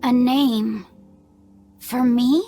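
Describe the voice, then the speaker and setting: A woman's voice: a little high, steady tone, drawn-out words, gravelly, mellow, faint music behind, exaggerated voice. A woman voicing an innocent, bookish young boy spirit in a video game, earnest and hesitant. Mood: hesitant, surprised. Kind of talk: video game cutscene acting.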